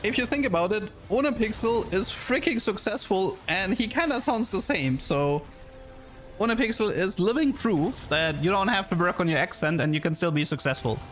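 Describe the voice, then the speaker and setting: A man's voice: sits high, expressive, normal speed, fairly bright tone. A man with a German accent, chatting casually with viewers on a game livestream in a rambling, self-amused way. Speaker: game streamer. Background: music.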